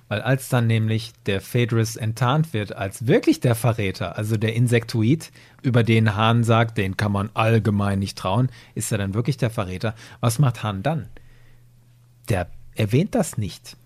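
A man with an average-pitched voice chats as a guest on a podcast. He is opinionated and expressive, arguing his points in a casual, conversational way. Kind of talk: casual discussion.

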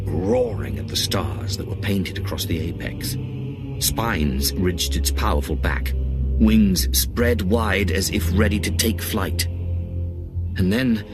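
An actor playing a brooding Jedi recalling his youth, in grave, measured first-person audio drama narration. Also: deep voice.

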